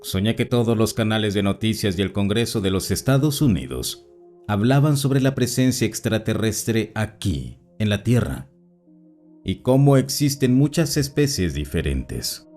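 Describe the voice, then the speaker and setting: A man calmly narrating written personal accounts as a scripted story reading. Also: on the low side, unhurried. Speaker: narrator reading listener stories. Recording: voice-over with faint background music.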